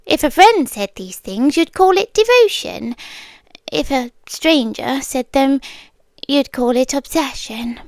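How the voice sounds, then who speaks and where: Woman acting a high-pitched, possessive British yandere girlfriend. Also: lively intonation, no echo, exaggerated voice. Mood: knowing, menacing. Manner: soft-spoken, deliberate, often slipping into whispers. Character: possessive, menacing yandere girlfriend, young woman, sweetly condescending. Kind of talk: ASMR roleplay. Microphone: close, dry microphone.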